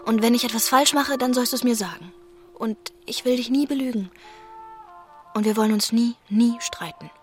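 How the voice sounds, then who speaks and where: An actress playing a gentle, hopeful young working-class woman in a radio drama, reassuring and devoted. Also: on the high side, normal speed, faint music behind, close to an everyday voice. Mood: eager, loving. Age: young adult. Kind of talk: German radio drama acting.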